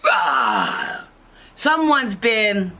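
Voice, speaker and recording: A woman's voice: mid-range voice, huge pitch swings, extremely slow, pushed and bright, a little hiss or hum, voice pushed up, heavily acted. A woman voicing an offended mother bear in a children's story, huffy and emphatic.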